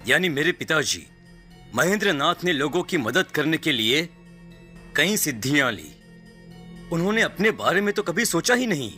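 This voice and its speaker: A man playing an anxious, protective middle-aged father in an animated horror story, earnest and dramatic. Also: on the high side.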